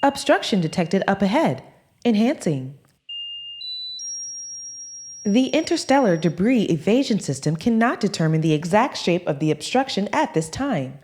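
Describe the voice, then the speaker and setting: A woman voicing a polite, coolly menacing female ship AI with formal, measured announcements in a sci-fi audio comedy. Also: mid-range voice, sing-song, a little mellow, a little hiss or hum, lightly acted. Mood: insistent, cool.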